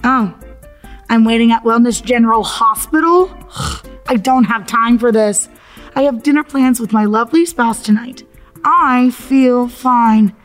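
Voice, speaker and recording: A woman's voice: a little high, measured pace, faint music behind, natural voice. A woman playing a confused, exasperated accident victim in an audio drama, with sarcastic, dramatic, slangy delivery. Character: confused, exasperated accident victim stuck in afterlife waiting room.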